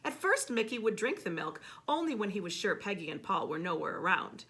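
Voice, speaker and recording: A woman reading a children's story aloud expressively and emphatically, pausing to ask a child questions. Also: medium pitch. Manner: expressive, emphatic, with repeated words for effect.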